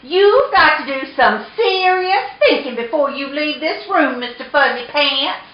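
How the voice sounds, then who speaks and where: Woman putting on a high, scolding mother cat. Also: animated, echoey room, dull audio. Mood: stern lecturing. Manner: exasperated, emphatic, drawn-out lecturing.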